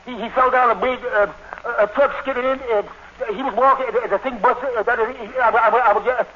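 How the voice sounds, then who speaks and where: Very high-voiced stammering little man. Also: fairly even intonation, fast. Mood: flustered. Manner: stammering, flustered, keeps restarting sentences. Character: nervous, tongue-tied little male barber. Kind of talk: 1940s radio comedy acting. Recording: dull, noisy old radio recording.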